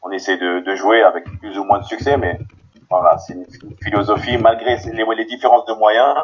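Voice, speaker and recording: A man from Paris, a guest on a football podcast, answering in a halting way with many restarts, heard over a remote call. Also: lowish voice, steady tone, lo-fi sound. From Paris, France.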